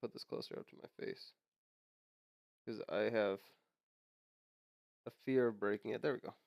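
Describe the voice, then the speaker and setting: A man's voice: fairly low pitch, steady tone, normal speed, vocal fry, a little mellow, dry recording, clean studio sound. Nasal young man with a cold. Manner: chatty, rambling with fillers and restarts. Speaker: host. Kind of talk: casual unboxing and lore talk.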